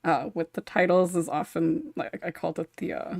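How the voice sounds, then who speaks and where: Slightly low-voiced woman, casual panel chat. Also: flat delivery, normal speed, creaky, darkish tone. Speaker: podcast panelist, script writer.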